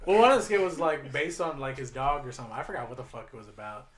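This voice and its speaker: A man's voice: mid-range voice, fast talker. Man, 30s, podcast co-host; rambling, swearing storyteller, echoey room with chatter behind. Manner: rambling, profane, laughing storyteller. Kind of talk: casual chat, storytelling.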